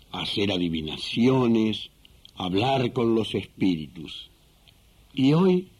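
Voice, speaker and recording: A man narrating a scripted religious radio drama in a measured, didactic way. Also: on the low side, a little mellow, breathy edge.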